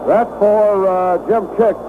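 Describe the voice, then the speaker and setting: Excited male radio play-by-play announcer. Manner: fast excited play calling, rising pitch on big plays. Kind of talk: live radio sports commentary. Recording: tinny old radio broadcast with heavy hiss.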